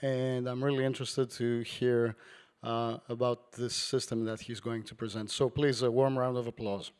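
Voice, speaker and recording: A man's voice: medium pitch, flat delivery, moderate pace, darkish tone, a little hiss or hum. A man chairing a conference session who introduces the next speaker and hesitates with fillers. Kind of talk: formal introduction. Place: conference hall.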